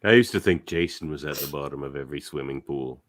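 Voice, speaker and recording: Brief: male podcast host, very deep voice, easygoing moderator who trails off quietly. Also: quick pace. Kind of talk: casual podcast hosting.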